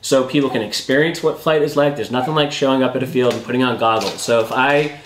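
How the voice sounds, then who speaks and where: A man in his forties talks casually and explains as he goes, in the style of an interview guest. His voice sits at a middle pitch, recorded in a roomy workshop with some noise and clicking behind it. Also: fairly even intonation, fast. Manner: conversational, explanatory, uses "like" and "you know" often. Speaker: guest, drone app company representative. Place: indoor workshop with hard walls. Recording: roomy echo, clear background noise, clicks.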